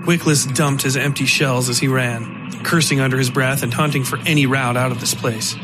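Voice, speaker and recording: A man reading scripted dark fiction as the narrator of a horror podcast. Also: medium pitch, fairly even intonation, normal speed. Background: music.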